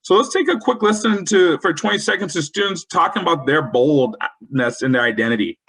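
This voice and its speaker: A man's voice: on the high side, expressive, fairly quick, fairly bright tone, low-quality recording. A man presenting a professional development webinar for school counselors, talking in an earnest, coaching way and quoting example phrases.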